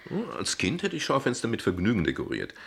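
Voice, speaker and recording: A man's voice: medium pitch, wildly swooping pitch, fast talker, gravelly, slightly rough, exaggerated voice. An actor playing a thoughtful, courteous and wry father who philosophizes in a naturalistic radio play, speaking in a reflective, articulate, slightly hesitant way. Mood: wry, friendly.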